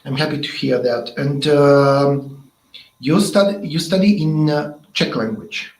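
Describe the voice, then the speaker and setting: Man interviewer, Russian native, slightly high, halting, echoey room. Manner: halting, searching for words. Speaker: interviewer from the language institute. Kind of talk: casual interview.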